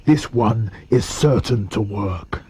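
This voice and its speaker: A man voicing a greedy, scheming enormous crocodile in a storybook reading, speaking slowly and low. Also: mellow, slightly rough, no echo. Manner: slow, scheming, low-voiced.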